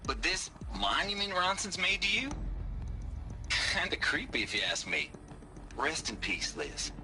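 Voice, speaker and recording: Wry, blunt man, swooping pitch. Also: big pitch swings, measured pace. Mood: wry, mournful. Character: wry, blunt, irreverent male project colleague.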